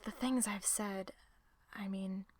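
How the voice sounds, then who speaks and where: Woman acting distressed young woman, slow halting delivery. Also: mid-range voice, fairly even intonation, a little mellow, no echo. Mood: quiet, earnest, guilty. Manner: emotional, halting, swearing. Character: distressed, guilt-ridden young woman, foul-mouthed, demanding honesty. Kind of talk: audio roleplay drama acting.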